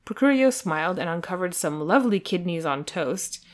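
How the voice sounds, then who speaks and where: A woman's voice: on the low side, expressive, moderate pace. A woman co-hosting a podcast, moving between dramatic storybook narration and casual, amused chat. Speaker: podcast co-host and reader.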